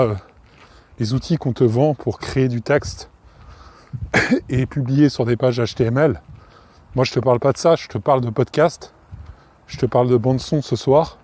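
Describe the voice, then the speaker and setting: A man's voice: medium pitch, moderate pace, long pauses, a little mellow. A French-speaking man with a cold, talking casually and informally to the listener, with many fillers and restarts. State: has a cold, coughing. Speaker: podcast host.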